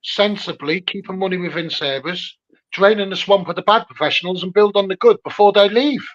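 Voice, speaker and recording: Through dull, low-fidelity audio comes a man with a high voice and a Scouse accent. He speaks casually but with earnest emphasis as an interviewer, returning to his key phrases to press his points. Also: a little fast, a bit bright. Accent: Liverpool (Scouse). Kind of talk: casual advocacy chat.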